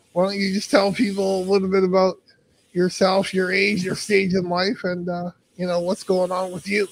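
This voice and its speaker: A man's voice: high-pitched, gravelly, a little hiss or hum, rough recording. A man hosting a casual interview, encouraging and affirming his guest as he asks questions. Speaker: host.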